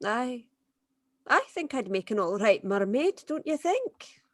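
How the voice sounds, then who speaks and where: A woman's voice: fairly high pitch, very animated, unhurried, dry recording, clean studio sound. An actress playing a tough, pragmatic, wry woman accomplice, plain-spoken and firm, in a dramatic script read-through. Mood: quiet, wistful.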